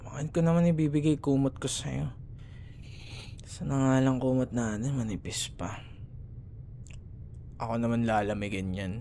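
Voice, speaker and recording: A man's voice: medium pitch, fairly even intonation, normal speed, creaky, long pauses, dark tone, a little hiss or hum, close to an everyday voice. A man with a Filipino accent playing a grumbling, teasing young school rival who secretly cares, in a sarcastic, casual ASMR boyfriend roleplay. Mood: sleepy, irritated. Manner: sarcastic, casual bickering with sighs and murmured asides.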